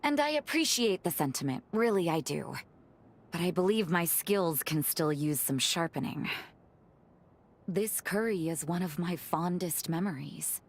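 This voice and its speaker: A woman voicing a gentle young vampire in a video game cutscene, speaking politely and thoughtfully with formal wording and soft teasing. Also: on the low side, animated, a little slow. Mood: earnest, apologetic. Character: gentle revenant woman, a vampire who loves cooking.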